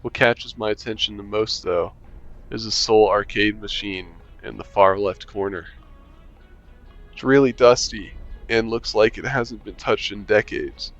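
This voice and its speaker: Lowish man reading a game aloud. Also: steady tone, unhurried, fairly bright tone, lo-fi sound, faint music behind. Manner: reads aloud, chuckling, wry asides. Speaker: gamer reading a visual novel aloud. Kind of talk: casual let's-play narration.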